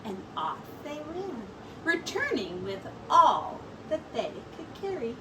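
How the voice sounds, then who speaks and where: A woman teacher reading a storybook aloud to children in an expressive, deliberate way with drawn-out words. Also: high voice. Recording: distant mic with clear background noise.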